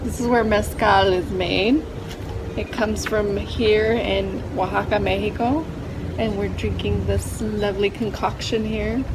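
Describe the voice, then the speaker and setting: A woman's voice: mid-range voice, steady tone, unhurried. A woman co-hosting a casual chat as a festival organizer, cheerful and playful, with jokey swearing. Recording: street noise, dog and vehicles behind.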